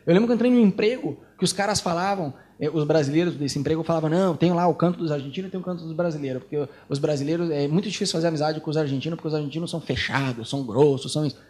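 A man's voice: fairly high pitch, racing, hardly any pauses, darkish tone. A Brazilian man with a Brazilian Portuguese accent who hosts a missionary talk show, speaking emphatically and laughing often. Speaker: missionary host.

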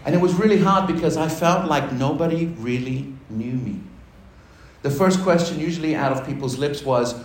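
A man's voice: a little high, normal speed. Man preaching in a roomy church. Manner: conversational, self-deprecating, laughing with the congregation. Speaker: pastor. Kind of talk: personal testimony sermon. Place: church hall. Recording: roomy church hall sound.

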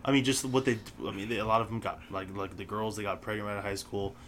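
Fast-talking young man telling stories. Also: lowish voice, steady tone, a bit bright, slight background noise. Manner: rambling, storytelling, lots of fillers. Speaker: podcast co-host. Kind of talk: casual chat between friends.

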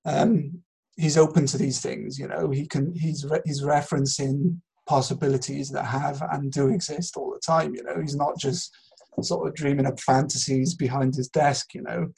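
Gravelly, husky man talking ideas through. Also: a little high, fairly even intonation, normal speed, darkish tone, low-quality recording. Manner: hesitant, rambling explanation with hedging. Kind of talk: casual intellectual discussion.

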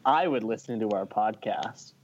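A man's voice: lowish voice, expressive, moderate pace, husky, lo-fi sound. A man co-hosting a podcast in casual chat, with a dry, blunt, joking way of talking. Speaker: podcast co-host.

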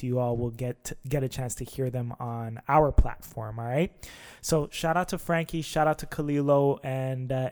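Male podcast host, mellow and flat-toned, loose unscripted intro, reverby room. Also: medium pitch. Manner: relaxed, rambling with frequent filler words. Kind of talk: casual spoken show intro.

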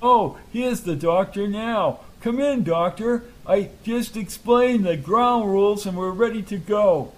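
A man's voice: sits high, a little slow, hardly any pauses, pitched above the performer's own voice, low-quality recording. A man voicing a formal, placating male organizer who keeps order in a tense meeting. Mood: welcoming. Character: smooth male meeting organizer acting as referee.

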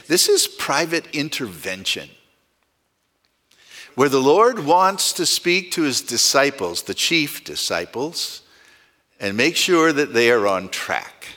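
A man's voice: medium pitch, bright, forceful tone. A male pastor preaching a sermon in a conversational way, asking the congregation rhetorical questions.

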